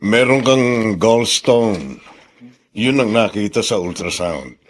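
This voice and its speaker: An actor playing a calm doctor who explains things in a measured, matter-of-fact way in a radio drama. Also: male voice, fairly low pitch.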